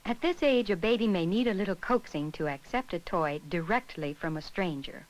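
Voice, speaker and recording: A woman reading scripted narration for an educational film in a measured, instructional way. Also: lowish voice, expressive, low-quality recording.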